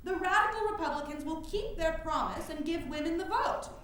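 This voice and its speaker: An actress playing a pragmatic, independent woman orator in a staged historical drama, speaking in a firm, measured theatrical way. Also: very high-pitched, a bit bright. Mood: reasoning. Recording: very echoey room with faint noise.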